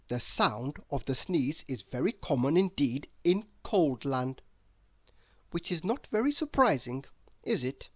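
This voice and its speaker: Someone reading a kids story out loud, really lively up and down, slow and close dry sound. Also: mid-range voice, dry recording. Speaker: storyteller reading a children's book aloud. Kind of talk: expressive read-aloud narration.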